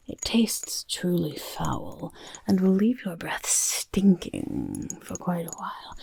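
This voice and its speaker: A woman voicing a chaotic, motherly goblin overlord who loves filth, in a soft-spoken ASMR roleplay, coaxing and fussing in breathy, creaky whispers with repeated words, close to the microphone. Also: on the low side, exaggerated voice. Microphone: close microphone, dry sound.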